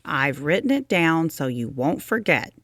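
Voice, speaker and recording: A woman voicing a small male hedgehog, sleepy, patient and gently bossy, with an emphatic storybook delivery. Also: low-pitched, very animated, a little slow, no echo, clean studio sound, full character voice. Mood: instructing.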